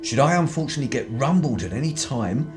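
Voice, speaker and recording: A man plays a cocky schemer from a radio drama, arch and theatrical. His pitch swoops widely through wordy, old-fashioned banter, with music underneath. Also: very animated, fast, natural voice. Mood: sly scheming. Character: cocky, scheming young-at-heart spy, calculating and theatrical.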